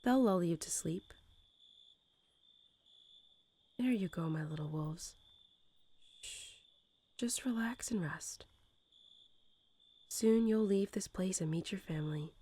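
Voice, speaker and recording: A woman's voice: on the low side, breathy edge. A woman playing a young mother wolf who talks tenderly and without hurry to her newborn pups, in the style of a bedtime roleplay audio. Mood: hushed, soothing. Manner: tender, unhurried, softly chuckling, hesitant informal phrasing. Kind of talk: soothing bedtime roleplay audio, intimate storytelling.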